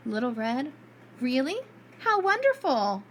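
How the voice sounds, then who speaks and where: A woman voicing a kindly, excitable old grandmother in a fairy tale. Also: high voice, unhurried, a little hiss or hum. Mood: delighted.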